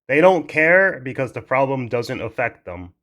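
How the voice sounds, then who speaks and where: Young male commentator, mid-pitched, heated casual rant, swears, restarts lines. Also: very animated, moderate pace, a bit bright. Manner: heated, blunt, swears freely, restarts sentences.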